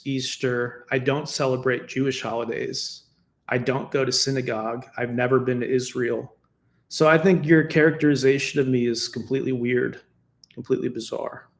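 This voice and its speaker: A man from the United States giving a defensive, argumentative solo commentary, talking casually and laughing between points. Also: medium pitch. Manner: conversational with fillers and restarts. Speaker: political commentator.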